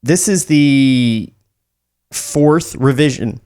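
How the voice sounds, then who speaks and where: A man's voice: medium pitch, drawn-out words, a little mellow, smooth. An American man co-hosting a podcast, chatting casually with some swearing and reading a script aloud.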